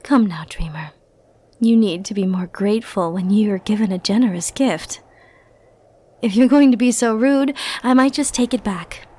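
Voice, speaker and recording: A woman playing a powerful, flirty and possessive sorceress in an ASMR roleplay, speaking softly and intimately with theatrical, teasing, condescending turns. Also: medium pitch, moderate pace, close to an everyday voice. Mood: smug, teasing. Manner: soft, intimate, teasing, theatrical delivery with breathy murmured asides.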